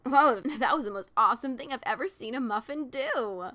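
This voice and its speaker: A woman's voice: on the high side, animated, moderate pace, creaky, a bit bright. A woman voicing a brash, boastful adventurer mare pony with a scratchy voice and a teasing manner. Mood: cocky. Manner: cocky, giggly teasing. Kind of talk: audiobook character reading.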